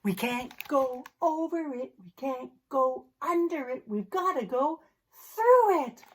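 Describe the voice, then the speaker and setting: A woman telling a children's picture-book story in an expressive, theatrical way, drawing out words for young listeners. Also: high voice, slow pace. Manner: addresses young listeners directly. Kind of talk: animated children's story time, chanted picture-book reading.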